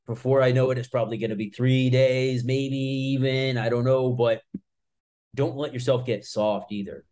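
A man's voice: medium pitch, fairly even intonation, moderate pace, a little mellow. A man in his mid-thirties giving casual, blunt, emphatic advice to camera as a martial arts channel host, with informal, sweary phrasing.

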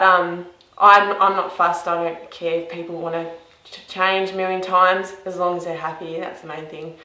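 A woman's voice: on the low side, sharp tone. An Australian woman in her twenties chatting cheerfully and informally in a casual interview. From rural Victoria, Australia. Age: 20s. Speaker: interviewee, graphic designer. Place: small office indoors, echoey. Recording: echoey room sound.

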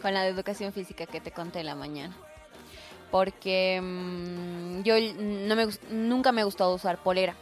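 A woman's voice: lowish voice, fairly even intonation, normal speed, faint music behind. A woman with a Bolivian accent telling anecdotes in casual radio chat. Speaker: radio show participant.

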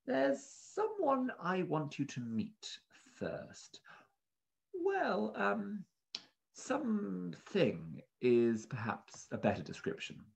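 A man's voice: wildly swooping pitch, drawn-out words, vocal fry, exaggerated voice, rough recording. A man voicing a shifty, nervous male villain in a storybook, speaking with evasive, hesitant politeness. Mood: uneasy, hesitant. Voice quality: high and shaky when nervous. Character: terrible, shifty, nervous wealthy male bird buyer.

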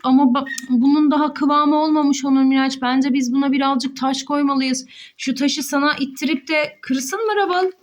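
A woman's voice: sits high, steady tone, moderate pace. A young woman, a mother, playfully narrating toy play for children, full of exclamations and questions to young viewers.

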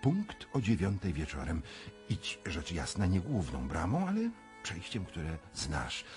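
An actor playing a cold, calculating, irritable fiancé in a radio drama, speaking with measured, formal self-assurance. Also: male voice, deep voice, huge pitch swings, a little mellow, soft background music, exaggerated voice. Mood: tense, controlling. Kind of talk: 1990s Polish radio drama acting.

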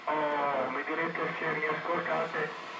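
Slow-talking man through heavy radio noise. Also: fairly high pitch, flat delivery. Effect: heard over a shortwave radio. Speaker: amateur radio operator. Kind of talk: ham radio exchange with spelled call signs. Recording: very noisy echoey radio, distant.

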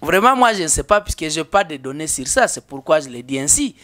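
A man's voice: a little high, big pitch swings, fast, sharp tone. A man in his thirties speaking as a guest in a political studio interview, emphatic, with rhetorical questions and frequent hesitations. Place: small indoor studio. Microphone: desk mic on stand.

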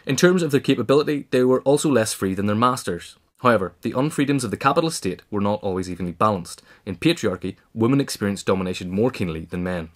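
A man's voice: fairly low pitch, lively intonation, fast talker, hardly any pauses. A man reading a scripted history essay aloud in a formal manner. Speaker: audiobook reader.

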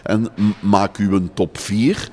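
An older Flemish man with a deep voice gives unscripted interview answers. He hesitates often as he builds his sentences, speaking into a handheld microphone indoors. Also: fairly even intonation, slow pace, mellow, slight background noise. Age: older, around 60. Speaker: competition jury chairman, interviewee. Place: indoors in a panelled room. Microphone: handheld reporter microphone.

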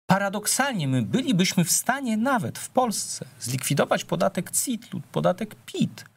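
A middle-aged man, a politician guest, arguing his case in a TV studio debate, measured and full of hesitations. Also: high voice, big pitch swings, gravelly, husky. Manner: measured, argumentative, with frequent hesitations.

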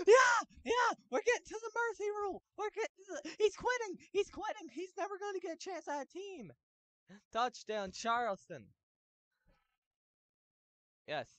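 A young man calling plays in an online football game, shouting touchdowns and then laying down the rules to the players. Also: very high-pitched, very animated, normal speed, pauses often, no room sound. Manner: hype announcer yelling touchdowns, then bossy about rules. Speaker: game commentator and league host. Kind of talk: excited play-by-play commentary.

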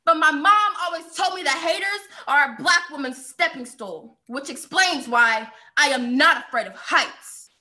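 High, bright young American woman performing slam poetry. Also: expressive, measured pace, few pauses. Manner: emphatic, declamatory delivery with repeated refrains. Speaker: spoken-word poet.

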